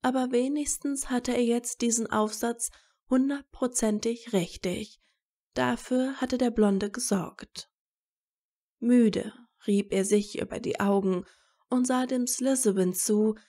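A woman reads a story as narrator at a slow pace. Her voice is mellow and medium in pitch, with plenty of creak. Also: fairly even intonation.

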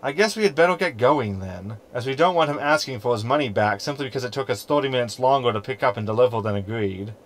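An actor playing a sarcastic, flippant ship computer hologram with a wry, chatty, teasing manner in a sci-fi comedy audio drama. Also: male voice, mid-range voice, animated, quick pace, few pauses, close to an everyday voice.

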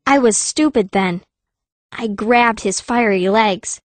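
A woman's voice: fairly high pitch, slow pace. A woman voicing a fierce, cunning young girl mage who narrates her own story as an audiobook, with long reverb. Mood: tense storytelling. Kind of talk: first-person audiobook narration.

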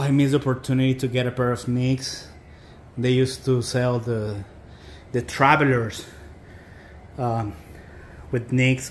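A man with a Mexican American accent giving a casual, halting, informal product review as he talks to viewers. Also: mid-range voice, a little hiss or hum. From South Texas. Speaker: boot reviewer.

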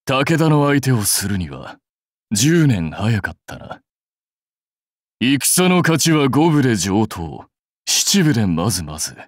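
An actor playing a proud, fierce warlord general in a mobile game, terse, rough and commanding. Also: male voice, medium pitch, sing-song, takes it slowly, no echo, clean studio sound. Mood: smug, dismissive. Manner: terse, rough, declamatory delivery. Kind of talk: anime-style mobile game battle and dialogue voice lines.

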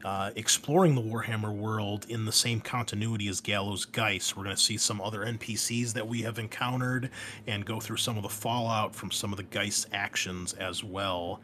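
A man's voice: lowish voice, fairly even intonation, moderate pace, pushed and bright, a little hiss or hum. A man hosting a gaming podcast, making casual, enthusiastic announcements in an informal way. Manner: informal, enthusiastic, mild swearing. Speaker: podcast host and game master.

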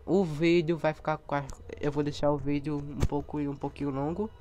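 A young man with a cold and a hoarse voice, chatting casually as he films his plants outdoors on a handheld phone. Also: a little high, flat delivery, moderate pace, mellow, faint music behind. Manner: chatty, points things out to viewers. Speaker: plant vlogger. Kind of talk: casual walkthrough vlog. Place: outdoor backyard garden area. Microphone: handheld phone camera.

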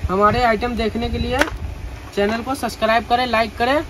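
A man's voice: extremely high pitch, level tone, moderate pace. Young salesman listing prices in a noisy shop. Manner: rattles off prices and items in lists. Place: crowded cosmetics shop, indoors.

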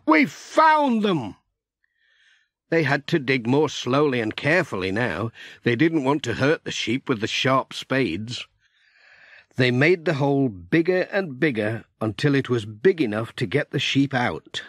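A man narrating a children's story audiobook in an expressive storytelling manner. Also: medium pitch, normal speed, no room sound, studio quality.

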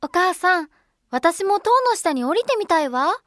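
とても高い声の優しいお姫様. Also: female voice, extremely high pitch, animated, normal speed, a bit bright, dry recording, clean studio sound. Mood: wistful. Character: sweet, tender young princess girl. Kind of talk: children's musical storybook acting.

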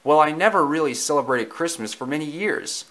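A man's voice: fairly high pitch, animated, brisk, a bit bright, voice pushed up. A man voicing an easygoing, somewhat puzzled adult male dog in a storybook reading. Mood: wry, easygoing.

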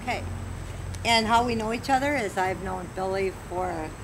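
A woman in her fifties reads interview questions aloud in an easy, chatty way, laughing often. Her voice sits at a middling pitch and comes from a camera at some distance in a garden. Clear background noise runs under the whole recording. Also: normal speed. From Michigan. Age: 50s. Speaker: applicant reading audition questions. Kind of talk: casual group chat. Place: outdoors in a garden, seated. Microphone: camera mic at a distance.

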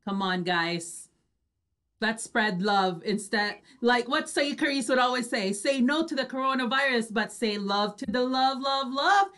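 A woman's voice: a little high, measured pace, fairly bright tone. A woman hosting an online radio show, chatting casually and expressively in exclamations to her audience over a video call. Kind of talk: lively casual reaction chat.

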